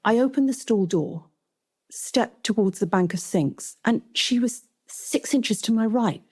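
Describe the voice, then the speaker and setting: A woman's voice: fairly high pitch, expressive, unhurried, darkish tone, slightly rough, pitched above the performer's own voice. Urgent, impassioned woman journalist, as read by an author. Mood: excited. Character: Zandy, impassioned, incredulous female TV journalist. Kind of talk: dramatic first-person book reading.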